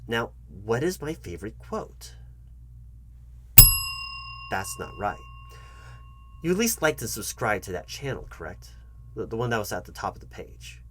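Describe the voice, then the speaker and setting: A man playing a foolish, patronizing job interviewer in an ASMR comedy roleplay, speaking to the listener in a halting, repetitive, mock-earnest way. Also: on the low side, big pitch swings, background noise. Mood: condescending, testing. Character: foolish, condescending male job interviewer toying with the candidate.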